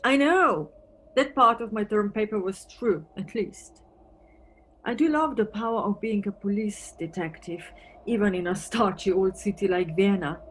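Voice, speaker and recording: An actress playing a wry, wistful married police detective from Antwerp in an audio play, musing conversationally. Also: female voice, medium pitch, normal speed, lightly acted. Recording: noisy recording with background ambience.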